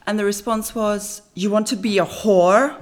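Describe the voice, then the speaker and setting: A woman's voice: medium pitch, fairly even intonation, moderate pace. Chatty woman with a London accent. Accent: British, London. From born in Iran, grew up in London. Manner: chatty storytelling, laughs often. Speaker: guest actress. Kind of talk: casual podcast interview.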